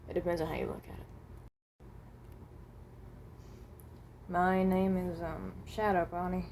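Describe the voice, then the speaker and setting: Woman acting as a resigned animatronic rabbit, slightly low voice, hesitant and halting, fan roleplay monologue, faintly noisy home recording. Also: flat delivery, vocal fry, a little mellow. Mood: resigned, wary. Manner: hesitant, halting, self-correcting.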